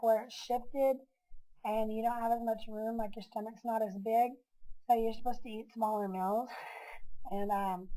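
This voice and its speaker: Young woman vlogging, kinda nasal and stuffed up, warm low-key tone, lots of ums and likes. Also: rough recording. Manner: rambling, with many filler words. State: congested with a cold. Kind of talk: casual chat.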